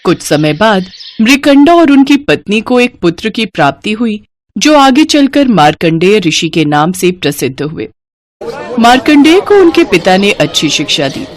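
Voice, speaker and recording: A woman's voice: mid-range voice, sing-song, moderate pace. A woman narrating a devotional story in a scripted storytelling style.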